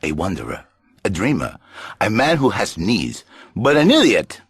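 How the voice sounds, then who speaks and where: An actor playing a flamboyant, pompous Latin lothario with a Spanish accent and husky voice, theatrical and grandiose in broken English on satirical talk radio. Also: male voice, on the low side, huge pitch swings, normal speed, no echo, full character voice. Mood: persuasive, sly. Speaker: radio talk show guest.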